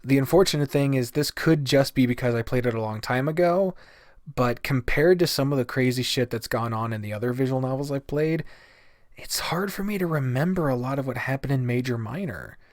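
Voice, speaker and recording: A man's voice: mid-range voice, brisk, no echo, studio quality. A man giving a casual, rambling game review in a blunt way, swearing freely. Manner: blunt, profane. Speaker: video game reviewer, host.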